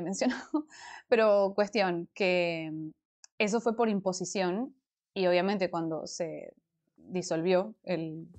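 A woman's voice: lowish voice, darkish tone. A woman from Argentina with a Rioplatense accent, a former language teacher who chats casually with her chat on a crafting stream. She sounds a little congested from allergies. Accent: Rioplatense Argentine Spanish. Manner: chatty, explains at length, answers chat. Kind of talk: casual livestream chat reading comments while crafting.

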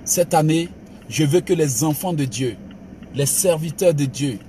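Male pastor, fairly high voice, exhorting sermon style. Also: measured pace, dark tone, a little hiss or hum. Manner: emphatic preacher, calls listeners "bien aimé", mixes exhortation with laughs. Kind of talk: motivational sermon.

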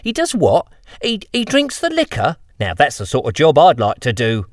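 A man's voice: a little high, huge pitch swings, moderate pace, no room sound, studio quality, pitched above the performer's own voice. A man voicing a grubby, cheeky young lamplighter boy with a chirpy, slangy way of talking.

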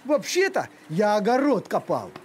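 A man's voice: sits high, wildly swooping pitch, normal speed, slight background noise. A man playing a naive, lazy, timid cartoon dog who talks in a simple-hearted, plaintive way. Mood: defensive. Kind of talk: animated cartoon acting.

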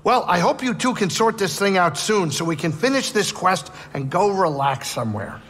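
An actor playing a gruff, nervous, wisecracking non-human male starship pilot in a video game. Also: sits high, lively intonation, normal speed, a bit bright, long reverb tail, slight background noise. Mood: chatty. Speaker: ship pilot. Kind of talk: video game cutscene acting.

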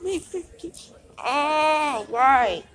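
A woman in her thirties chatting playfully while shopping in a mall, filmed on a phone held low. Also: lively intonation, drawn-out words, a bit bright. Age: 30s. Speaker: vlogger. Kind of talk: casual chat while shopping. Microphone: phone held low at arm's length.

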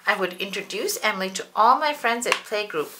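A woman's voice: medium pitch, lively intonation, a little fast, background noise, close to an everyday voice. Woman doing a proud, eager little monster.

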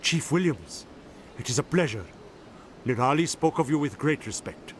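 Grieving husband, male actor: medium-pitched, polite, formal, dignified game character amid faint city ambience. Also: lively intonation, moderate pace, a little hiss or hum, close to an everyday voice. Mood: deferential. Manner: courteous, formal. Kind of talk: video game voice acting.

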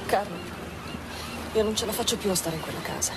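Radio drama daughter female actor: young student, medium pitch, sincere and strong-willed, clear ambience behind. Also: brisk, heavy background noise, natural voice. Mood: troubled, resolute. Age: young adult. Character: earnest, determined young student daughter in love. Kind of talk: Italian radio drama acting.

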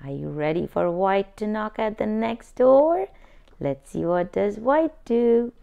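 A woman reads a children's picture book aloud at a very unhurried pace. Her pitch rises and falls a great deal as she takes each line, and she slips into playful voices for the characters. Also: creaky, clean tone, phone-quality sound. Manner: slow, lively storytime reading with playful character voices. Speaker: children's book reader, channel host. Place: indoors at a table, hands over a book.

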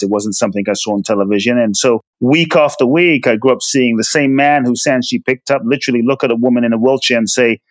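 A man's voice: mid-range voice, no room sound. A man born in Ghana and raised in London, telling his life story as a podcast guest in an earnest, emphatic way. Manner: earnest, emphatic, with repeated words. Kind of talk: personal storytelling interview.

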